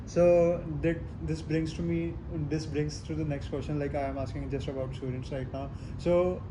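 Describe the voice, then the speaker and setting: A man interviewing a student club leader in a halting, friendly, teasing way. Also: fairly high pitch, steady tone. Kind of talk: casual interview questions. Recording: clear background noise.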